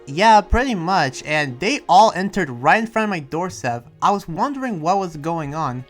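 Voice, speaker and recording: A man playing a friendly, earnest townsman in an improvised anime game roleplay, explaining himself with hesitant, rambling pauses, with music behind him. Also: high-pitched, big pitch swings. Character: friendly, earnest demon-sheltering townsman who senses lies.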